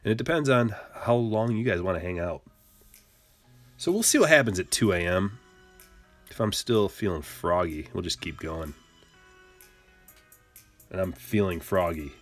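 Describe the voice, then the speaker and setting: A very deep-voiced man from Michigan riffs playfully with his chat while opening packs of cards. He rambles and jokes in a loose, unscripted way, with faint music playing softly behind him. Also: expressive, measured pace, pauses often. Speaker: card-break streamer.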